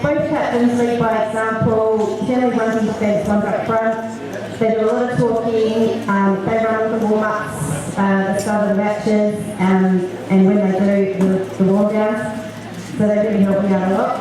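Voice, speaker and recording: A soft-spoken woman coach giving a prepared speech at a school presentation, with frequent ums. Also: mid-range voice, little pitch movement, a little slow. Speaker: youth soccer coach. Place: school hall with an audience. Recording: echoey hall, far from the mic, crowd noise.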